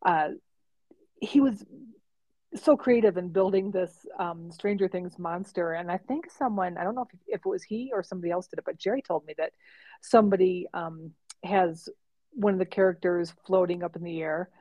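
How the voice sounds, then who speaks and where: Chatty lady cohost, kinda low voice, laughs a lot, dry mic. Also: normal speed, mellow, no echo, dull audio. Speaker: podcast co-host. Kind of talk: casual chat.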